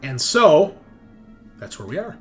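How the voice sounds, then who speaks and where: A man acting as game master, explaining things in a casual recap style, with emphatic starts that trail off quieter. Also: fairly high pitch, big pitch swings, takes it slowly, faint music behind. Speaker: game master of a tabletop roleplaying show.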